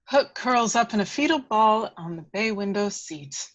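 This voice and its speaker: A woman's voice: medium pitch, lively intonation, unhurried. A woman reading scripted action descriptions as the narrator of a table read. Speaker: stage-direction reader.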